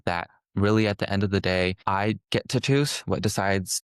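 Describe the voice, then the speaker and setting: A young man from California talks through a webinar in a relaxed, reflective way, often pausing to restart a thought. His voice sits very low and comes across smooth and resonant. The recording is close and dry, with no room sound. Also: dry recording. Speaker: social work student presenter. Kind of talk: casual webinar presentation.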